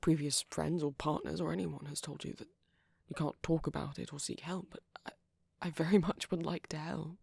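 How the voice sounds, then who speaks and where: A shy young vampire boyfriend, acted by a man speaks in a soft, husky near-whisper with a creaky edge. He is gentle and halting, full of tender apology. The sound is dry and intimate, in the manner of an ASMR roleplay. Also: a little high, steady tone, no room sound. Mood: gentle, reassuring.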